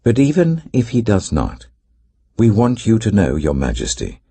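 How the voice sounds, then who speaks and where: A British man voicing a steadfast, defiant captive answering a king with formal, calm resolve, in a scripture audiobook. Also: fairly low pitch, normal speed, breathy edge, pitched above the performer's own voice, heavily acted. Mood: resolute.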